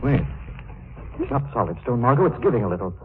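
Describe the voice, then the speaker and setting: A firm, urbane gentleman detective, acted by a man in an old radio drama. His voice is mid-pitched and lively in its swings, skeptical and resolute, heard through narrow vintage sound. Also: quick pace, lightly acted. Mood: intent. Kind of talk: 1940s radio acting. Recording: noisy, narrow-band 1940s radio recording.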